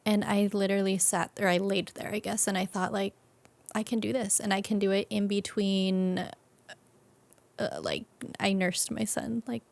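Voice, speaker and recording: A young adult woman with a creaky voice, talking informally as an interview guest and thinking aloud with frequent "like". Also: mid-range voice, little pitch movement, normal speed. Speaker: interview guest, business owner. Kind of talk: casual conversational interview.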